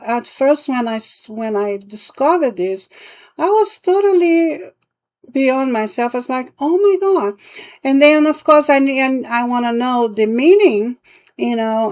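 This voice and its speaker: A woman from Brazil with a Brazilian accent and Portuguese as her first language, giving a casual, halting explanation as a vlogger. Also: a little high, a little mellow. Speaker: palmistry vlogger and teacher.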